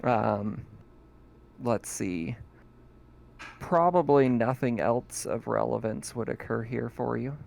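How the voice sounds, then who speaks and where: Brief: gravelly male game master, halting narration. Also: medium pitch, darkish tone. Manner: halting, many fillers.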